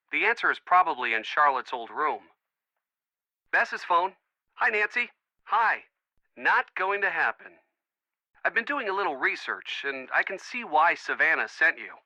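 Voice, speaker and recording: A man's voice: on the high side, pushed and bright, no echo, full character voice. An actor playing a friendly, earnest young man in a video game, chatty and reassuring as if on a call. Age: young adult. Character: friendly young man, earnest, bookish, supportive boyfriend type. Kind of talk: video game voice acting, phone conversation.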